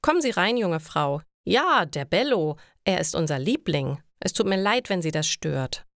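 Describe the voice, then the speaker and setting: A woman's voice: lowish voice, sing-song, normal speed, fairly bright tone, dry recording, studio quality. A woman voicing a friendly elderly male neighbour in a story for language learners. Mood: apologetic, friendly. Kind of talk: German learner story dialogue.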